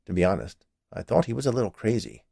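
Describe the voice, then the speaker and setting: A man's voice: on the low side, big pitch swings, fast, vocal fry, mellow, slightly rough, no echo, clean studio sound, heavily acted. A man voicing a polite, wily little inspector in a storybook tale. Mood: coolly smug.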